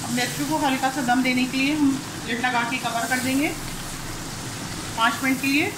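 Pakistani urdu-accented woman, high voice, explaining her cooking step by step, echoey kitchen. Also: fairly even intonation, moderate pace. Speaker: cooking channel host. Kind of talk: cooking demonstration voice-over. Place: home kitchen. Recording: echoey kitchen with sizzling noise.

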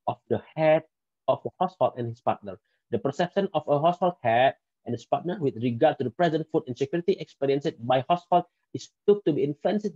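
A man's voice: mid-range voice, mellow, no echo. A man in his thirties from Indonesia, speaking English with an Indonesian accent, giving a recorded conference presentation with some careful restarts. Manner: careful non-native English, occasional restarts. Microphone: headset. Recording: dull lo-fi dry sound.

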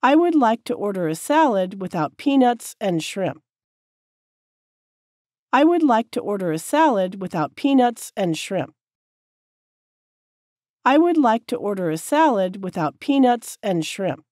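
A woman teacher reading short sentences slowly and clearly, each one said several times for learners to repeat. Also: medium pitch, sing-song, a little mellow, dry recording, clean studio sound. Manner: careful, clear enunciation. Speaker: English teacher. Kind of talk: slow repeated drill sentences.

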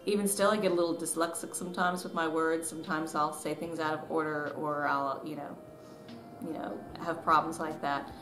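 A woman's voice: low voice, flat delivery, fairly quick, a bit bright. A woman in her thirties from the southern US, chatting casually and self-deprecatingly as she tells a personal story to camera. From southern US, Tennessee and Georgia. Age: 30s. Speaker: author and vlogger. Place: small living room at home, indoors. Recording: echoey room with background noise.

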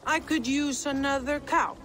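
A woman's voice: sits high, steady tone, measured pace, a bit bright, slight background noise. An actress playing a bawdy, sly female mercenary leader in a video game, with a taunting drawl. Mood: shrewd. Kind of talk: video game cutscene acting.

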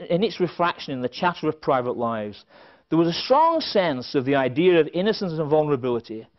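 A middle-aged man giving a prepared conference lecture, with wry, dry humorous asides and emphasis on quoted phrases. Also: on the high side. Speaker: conference speaker. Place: indoor stage at a conference podium. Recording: slightly dull, band-limited event recording.

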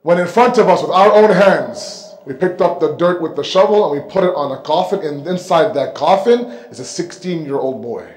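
A man delivers a religious lecture in a rather high voice. He speaks emphatically, repeating phrases for weight and posing rhetorical questions. The sound is noticeably echoey, picked up on a microphone in a hall. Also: expressive, normal speed, few pauses, a little mellow. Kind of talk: sermon lecture. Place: indoor mosque hall, seated at the pulpit. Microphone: microphone on a stand close in front.

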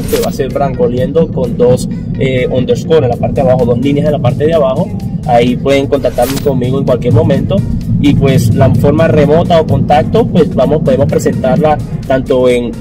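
Young man, Venezuelan Spanish accent, mid pitch, hesitant with fillers, car passenger, loud music behind. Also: poor audio. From Caracas, Venezuela. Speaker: personal trainer. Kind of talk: casual interview answers.

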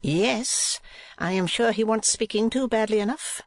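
A narrator voicing a haughty, pouting middle-aged businesswoman wife, imperious and sharp-tongued, in an audiobook. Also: medium pitch, no echo, voice pushed up, natural voice. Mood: pouting reproach. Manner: imperious, brisk, put-upon.

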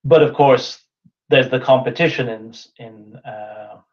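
A man's voice: mid-range voice, measured pace. A man in his thirties giving an online talk and answering questions, thinking aloud with restarts and fillers, over a webcam video call. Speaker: invited speaker. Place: indoor room with whiteboard. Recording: dull lo-fi video call audio.

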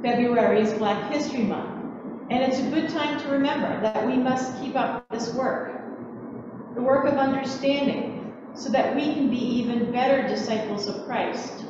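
A woman's voice: a little high, moderate pace. Echoey, distant older woman preaching. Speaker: Episcopal preacher. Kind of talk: sermon. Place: large church, at a pulpit. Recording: echoey church from far off, muffled, noisy.

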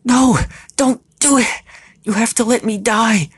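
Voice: mid-range voice, measured pace, slightly rough, pitched above the performer's own voice. A storyteller voicing a devoted, brave young heroine who speaks urgently and earnestly. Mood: desperate.

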